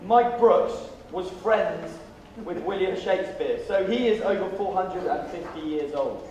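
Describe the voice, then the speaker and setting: A man's voice: high-pitched, a little slow, background noise. A man, an actor on a stage panel, bantering with an audience in a teasing, mock-serious way. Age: 40s. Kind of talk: joking banter before an audience. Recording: very echoey venue, distant mic, loud crowd.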